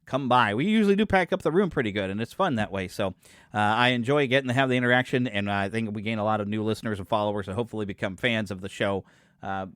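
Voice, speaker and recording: A man's voice: lowish voice, fast talker, a bit bright, no echo, studio quality. Rambling, chatty male podcast host. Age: 40s. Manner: rambling and chatty, loses his thread and corrects himself; self-deprecating and joking. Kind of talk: casual solo podcast chat.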